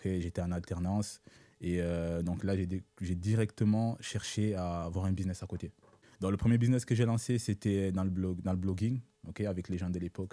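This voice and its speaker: A young man with a low, mellow voice talks through his story in a steady, measured way, often checking in with "ok?" as he goes. French is not his first language. The sound is close and dry. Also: no room sound. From born in Italy, raised in Africa. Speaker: guest entrepreneur. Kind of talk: casual interview talk.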